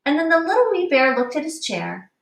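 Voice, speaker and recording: A woman teacher reading a picture book aloud to young children with deliberate, explanatory expression. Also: high voice, very animated, vocal fry. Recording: echoey room, distant mic.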